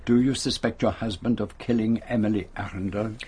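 An actor playing a shrewd, courteous detective with a French-speaking Belgian accent, speaking formally and precisely in a radio drama. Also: male voice, fairly low pitch, fairly even intonation, darkish tone, husky. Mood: calm, probing. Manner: formal, measured, precise phrasing. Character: shrewd, courteous male detective, self-assured and commanding.

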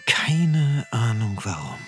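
A man's voice: mid-range voice, big pitch swings, slow, music in the background. An actor playing a narrator looking back on his schooldays in a radio drama, dry, laconic and understated. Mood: laconic, reflective.

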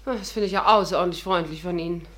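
A woman's voice: lowish voice, rapid-fire, a bit bright, lightly acted. Actress as a poised, witty, resolute woman. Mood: dry, ironic. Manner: poised, dryly ironic. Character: witty, resolute, worldly woman amateur adventurer and narrator. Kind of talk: 1950s German radio play acting and narration.

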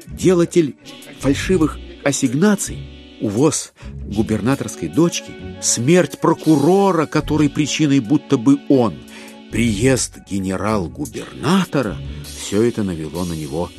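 A man narrating a literary audio drama in a measured, expressive storytelling manner with deliberate pauses. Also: mid-range voice. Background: music with violin.

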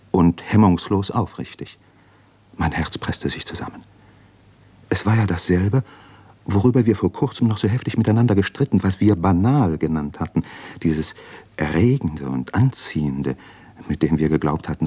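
A man's voice: low voice, darkish tone, breathy edge. An actor playing a brooding, sensitive man recalling his youth in an introspective, intense radio drama monologue.

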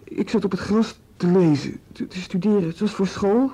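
A young man, a student, stumbling through his confession in a radio play. His voice sits high and runs husky, mellow rather than bright, with stammers and tears breaking through. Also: moderate pace. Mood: wistful. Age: young adult. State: tearful. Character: young male student, remorseful and shaken. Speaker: student questioned by police.

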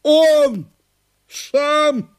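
A man playing a fat, stubbornly aggrieved provincial landowner who speaks in breathless, halting phrases in an audio drama. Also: very high voice, pitched above the performer's own voice, heavily acted. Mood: indignant, gasping. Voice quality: weak, breathless.

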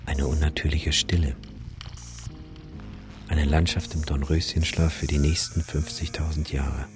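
A man's voice: rumbling low, level tone, unhurried, music in the background. An actor in a radio drama playing a grave male witness, speaking in a somber, ominous way. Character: grave male witness recalling an eerie dead landscape.

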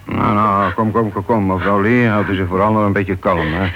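An actor playing a calm, methodical, paternal police inspector in a Dutch radio play. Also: male voice, fairly low pitch, normal speed, lightly acted. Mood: calm, probing. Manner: paternal, probing, murmured acknowledgements. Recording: dull, noisy old radio play recording.

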